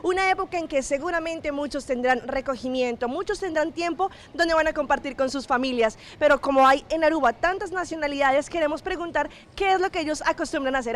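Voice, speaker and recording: A woman's voice: high voice, slight background noise. A woman presenting scripted television news as host and reporter.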